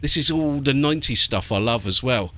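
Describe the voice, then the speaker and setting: A man's voice: medium pitch, animated, fairly quick, bright, forceful tone. A British man giving casual gameplay commentary with blokey, sweary banter. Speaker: let's play host. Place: small warm room indoors. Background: game music.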